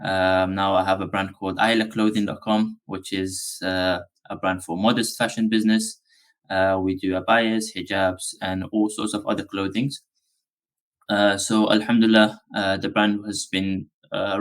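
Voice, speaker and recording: A man from Afghanistan, a business founder being interviewed on a podcast, talking in a relaxed, rambling way with many ums and uhs. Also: low-pitched, moderate pace.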